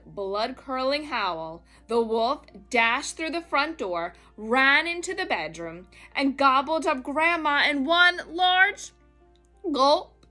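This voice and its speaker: A woman narrates a children's story in a fairly high, bright voice. Her reading is expressive and emphatic, with soft music behind it. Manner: dramatic, emphatic.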